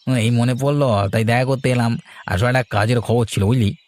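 A man's voice: medium pitch, fairly even intonation, brisk, darkish tone, lightly acted. A man playing a greedy, scheming village uncle in a cartoon, coaxing slyly with hesitations and tag questions. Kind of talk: cartoon voice acting.

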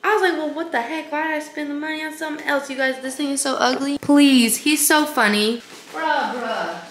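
A young woman in her twenties, vlogging in a chatty, animated, slangy way to a handheld camera. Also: high voice, a little fast, a little hiss or hum. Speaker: lifestyle vlogger. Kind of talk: casual vlog chat to camera. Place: home, car and shops, in and out. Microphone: handheld camera at arm's length.

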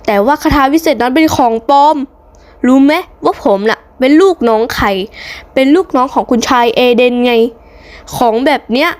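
A woman's voice: sits high, normal speed, close to an everyday voice. A woman voicing an aggrieved, boastful young male henchman in a cartoon story, blustering and accusing. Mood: indignant. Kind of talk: hobby cartoon story dubbing.

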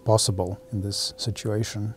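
A man, explaining in halting, choppy phrases as an interview guest. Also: on the low side, little pitch movement, a little hiss or hum. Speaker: product manager, interview guest. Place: studio room with curtains and audio gear.

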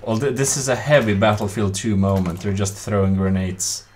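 Chill lowish-voiced guy streaming a shooter on a headset, lots of uhs, faint hiss behind. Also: normal speed. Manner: relaxed, hesitant with many fillers, chuckling. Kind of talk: casual gameplay chat.

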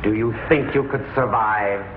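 A man's voice: on the low side, moderate pace, close to an everyday voice. A man playing a scheming, taunting outlaw villain in a 1950s television space opera, with theatrical delivery. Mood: menacing, taunting. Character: scheming male outlaw villain, menacing and smooth-tongued. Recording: muffled, noisy, echoey 1950s TV soundtrack.

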